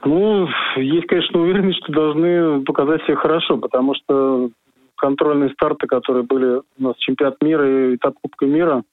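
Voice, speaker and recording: A man's voice: fairly high pitch, steady tone, brisk, dry recording. A man, a senior sports coach, giving matter-of-fact interview answers over a phone call. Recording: narrow telephone line, dry.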